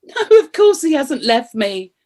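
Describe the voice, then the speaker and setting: A woman playing a cheeky, frisky middle-aged British wife in a radio comedy play, expressive and suggestive. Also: high-pitched, unhurried. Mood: earnest, naive.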